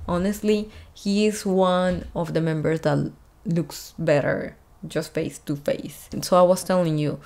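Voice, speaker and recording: Brief: young woman vlog host, low voice, unhurried, chatty filler-heavy reaction talk. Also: steady tone, a little mellow. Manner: chatty, many fillers like "like" and "honestly". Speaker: fashion designer and YouTube host. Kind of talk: casual reaction commentary.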